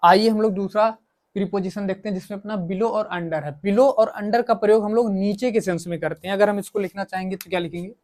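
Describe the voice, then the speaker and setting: A man's voice: high voice, a little fast, a little mellow. Man teaching a grammar lesson. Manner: repetitive, asks and answers own questions. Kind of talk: coaching lesson, explaining in Hindi with English examples.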